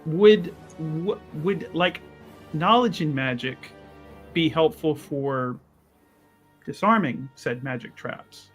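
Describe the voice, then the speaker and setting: Male tabletop player, fairly high voice, casual table talk partly in character, soft music behind. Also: slow.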